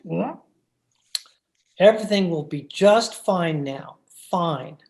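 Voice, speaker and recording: A henpecked, scheming husband from a radio horror play, played by a man. He coaxes slowly and deliberately, repeating himself, his pitch sliding widely up and down. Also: animated, gravelly, darkish tone, exaggerated voice. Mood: coaxing, sly. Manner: coaxing, deliberate, repetitive. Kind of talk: old-time radio horror acting.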